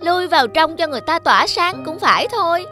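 A boastful, arrogant young cartoon diploma, voiced by a woman, sneering at its elders. The voice is very high and smug, with clear music behind it. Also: expressive, fairly quick, sharp tone, exaggerated voice. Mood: mocking. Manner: smug, taunting. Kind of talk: cartoon dubbing.

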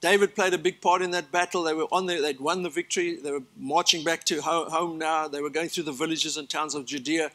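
Older south african pastor preaching on a church stage, bright voice, a bit high, stresses his lists. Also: fairly even intonation, fairly quick, few pauses. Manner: earnest preaching with emphatic lists and asides. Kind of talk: sermon.

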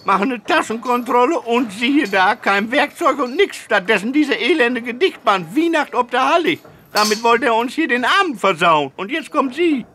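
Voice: mid-range voice, lively intonation, normal speed, sharp tone, a little hiss or hum. An actor voicing a bossy, militant elderly care home resident in a radio comedy, colloquial and indignant. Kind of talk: radio comedy sketch acting.

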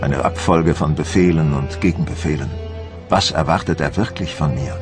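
Deep gloomy french admiral telling his story in a docudrama, brooding, music under it. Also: moderate pace. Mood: brooding, worried. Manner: grave, brooding. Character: troubled, despondent French admiral recounting his failing campaign. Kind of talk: first-person documentary drama narration. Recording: loud background music under the voice.

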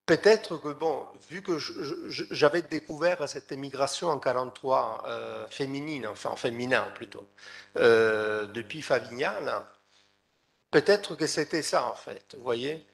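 A man's voice: medium pitch, measured pace, gravelly. A man in his fifties with an Italian accent, answering questions hesitantly as he searches for words into a table microphone. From Italy. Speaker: author, guest speaker. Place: seated at a table in a meeting room. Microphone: table gooseneck microphone.

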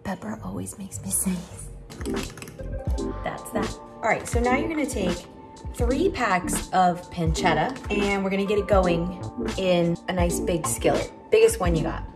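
A woman in her forties talks her viewers through a recipe in a roomy home kitchen, her voice at a middle pitch. She sounds a little off the mic, picked up by a camera across the room. Loud music plays under her voice, and pans clatter now and then. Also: unhurried. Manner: chatty, talks while working at the stove. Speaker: home cooking vlogger. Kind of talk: casual cooking demo. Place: large home kitchen, indoors. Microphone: far camera on tripod.

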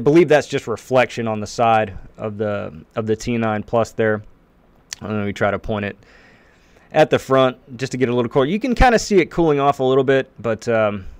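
A man's voice: mid-range voice, clean tone, dry recording. A man in his thirties hosting his own channel, talking casually over footage with lots of fillers in a small home office. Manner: relaxed, rambling, many fillers. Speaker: channel host. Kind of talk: casual commentary over video. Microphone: desk mic on boom arm.

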